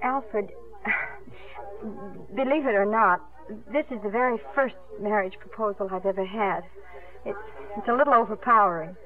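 An actress playing a poised, spirited young stage star in a 1950s radio drama, on a tinny broadcast recording. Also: female voice, medium pitch, a little slow, natural voice. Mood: flustered.

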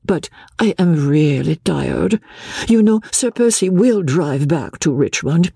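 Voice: medium pitch, expressive, takes it slowly, gravelly, mellow, breathy edge, no room sound, clean studio sound, pitched above the performer's own voice, exaggerated voice. A reader voicing a proud, tired young noblewoman who sounds strained and pleading, raised above the narrating voice. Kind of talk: audiobook dialogue.

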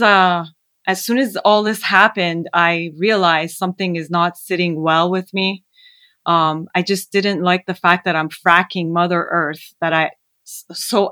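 A woman speaks with a slightly low voice, earnest and a little hesitant, often pausing on fillers. The sound is dry and close, with no room echo. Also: a bit bright. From born in Iran, raised in Canada. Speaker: guest, skincare founder. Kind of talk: casual interview conversation.

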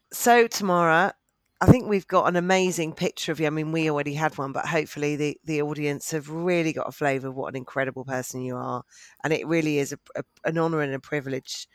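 A co-host leading an interview, effusive and complimentary, and slow and deliberate when setting up questions. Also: mid-range voice, no echo. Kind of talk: podcast interview.

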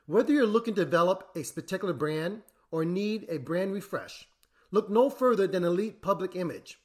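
A podcast host reading sponsor messages in a measured, announcer-like way. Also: medium pitch, expressive, a little mellow. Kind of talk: scripted ad reads. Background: music bed.

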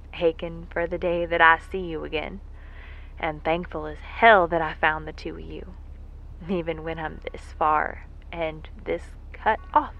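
A woman's voice: low-pitched, a bit bright, lo-fi sound. A woman playing a folksy, lovesick moonbase worker who rambles with wry self-deprecation in an audio drama monologue. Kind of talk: audio drama monologue, a spoken letter home. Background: faint music.

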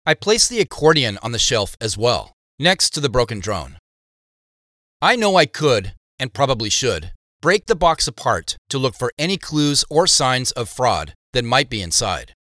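A man narrating a scripted audio drama in the first person as a reflective investigator, with measured, descriptive storytelling. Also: medium pitch, very animated, a bit bright, no room sound, studio quality. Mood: subdued, pensive. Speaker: narrator and lead character.

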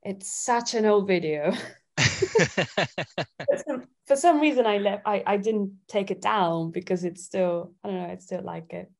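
A woman from Italy chatting casually as an interview guest, thinking out loud with hesitant phrasing. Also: mid-range voice, lively intonation, unhurried, vocal fry. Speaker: singer-songwriter guest.

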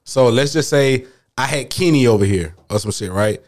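A man's voice: medium pitch, expressive, normal speed, a little mellow. A man, talking in loose slang on a casual podcast, full of filler and emphatic repeats. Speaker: podcast guest.

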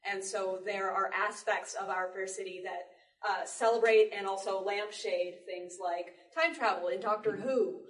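An articulate woman with a mid-pitched voice speaks in measured, elaborate sentences on a panel. The room is echoey and the mic sits some way off. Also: distant mic. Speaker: audio drama panelist. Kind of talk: panel discussion. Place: public library panel room.

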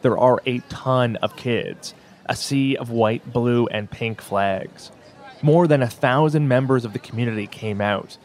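Calm man narrating a documentary. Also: medium pitch, measured pace. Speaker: podcast host and narrator.

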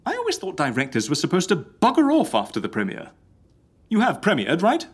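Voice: mid-range voice, huge pitch swings, fairly quick, slightly rough. An actor playing an exasperated, nosy modern interviewer in a comic audio drama, blunt and colloquial.

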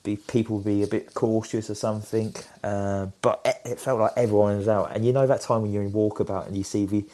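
A man's voice: fairly low pitch, fairly even intonation, fast talker, few pauses, warm tone. An enthusiastic man rambling through casual football chat as a podcast co-host.

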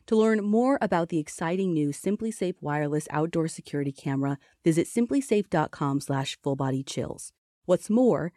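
Female ad narrator, slightly low, dark smooth tone, straightforward scripted read. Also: no echo, studio quality. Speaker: podcast ad reader.

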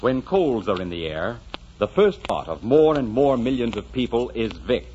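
A man's voice: mid-range voice, big pitch swings, moderate pace, a little mellow, faint music behind. Dramatic old radio announcer guy, big reverb, muffled noisy recording. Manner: dramatic, grandiose. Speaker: announcer and narrator. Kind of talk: 1940s radio scripted narration.

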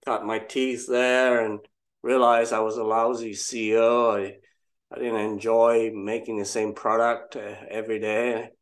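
A man's voice: lowish voice, little pitch movement, a little slow. Reflective older man from Malaysia. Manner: reflective, self-deprecating, wry. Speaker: guest entrepreneur. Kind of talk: casual interview storytelling.

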